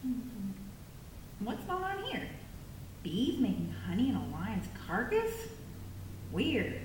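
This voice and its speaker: Lady doing cocky puppet show strongman, kinda high and lively, super echoey and far from the mic. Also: takes it slowly, heavily acted. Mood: puzzled. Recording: very echoey, distant mic, faint noise.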